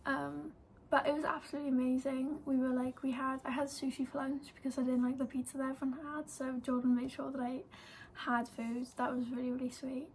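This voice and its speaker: A woman's voice: sits high, level tone, brisk, slight background noise. An eighteen-year-old woman with a Welsh accent, casually telling a story to camera, full of "like" and "um". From Wales. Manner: chatty, lots of "like" and "um". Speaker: vlogger.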